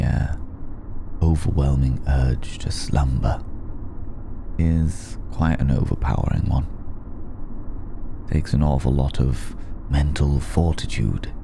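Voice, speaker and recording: Man acting as old male vampire, courtly, wry, slow-paced, creaky gravelly voice, ASMR audio roleplay, intimate, pausing. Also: background noise, full character voice. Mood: confiding, wry. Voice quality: gravelly, dark low voice. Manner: languid, hesitant pauses, refined and wry.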